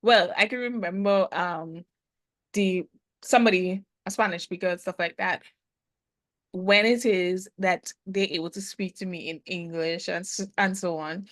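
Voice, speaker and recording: Thoughtful woman guest, hesitant pauses. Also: on the low side, steady tone, fairly bright tone, no room sound. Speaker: business consultant, interview guest. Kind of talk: casual conversational interview.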